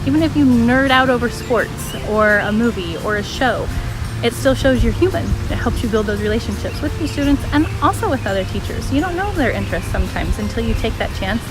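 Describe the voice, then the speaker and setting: A woman teacher with a booming, fairly high voice hosts her vlog, talking straight to the viewer. She sounds upbeat and encouraging. Loud music plays under her the whole time. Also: expressive, fairly quick, rough recording. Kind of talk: casual vlog advice to teachers.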